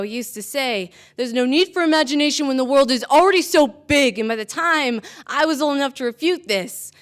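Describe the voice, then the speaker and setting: A young woman performing spoken-word slam poetry with a dramatic, deliberate delivery and measured pauses. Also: fairly high pitch, smooth. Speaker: slam poet.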